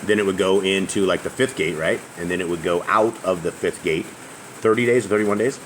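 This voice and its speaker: Loud booming deep-voiced guy reads scripture at home, faint outdoor sounds around. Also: fairly even intonation, a little fast, slight background noise, rough recording. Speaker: host leading a family scripture reading. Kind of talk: casual group Bible study. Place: house open to the outside, noisy roof. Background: faint outdoor ambience.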